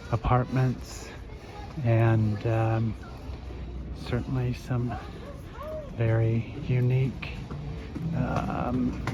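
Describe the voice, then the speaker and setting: Hoarse man rambling on a street walk. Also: medium pitch. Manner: rambling, unhurried, many fillers. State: hoarse, recovering from illness. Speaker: vlogger. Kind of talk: walking tour commentary. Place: residential street outdoors. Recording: dull outdoor phone audio with traffic. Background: street traffic.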